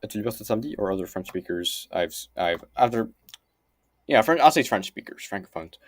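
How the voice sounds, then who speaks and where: A man's voice: lowish voice, lively intonation, a little fast. A man casually commentating on on-screen lessons, thinking aloud in a mumbled aside manner. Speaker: host of a language-learning series. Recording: clearly noisy home recording.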